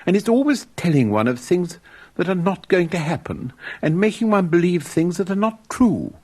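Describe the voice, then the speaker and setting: A man's voice: high voice, big pitch swings, normal speed, darkish tone, pitched above the performer's own voice. A man voicing an earnest, pompous young male student in a storybook fairy tale, with music behind. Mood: disillusioned.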